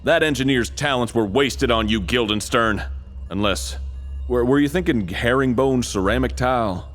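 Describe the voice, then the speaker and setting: A man acts as a serious army major in a silly stealth game. He delivers briefings with deadpan authority in a medium-pitched voice. Faint music plays behind him. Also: normal speed, lightly acted. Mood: indignant. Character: earnest male army major, deadpan mission commander. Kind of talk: comic video game cutscene acting.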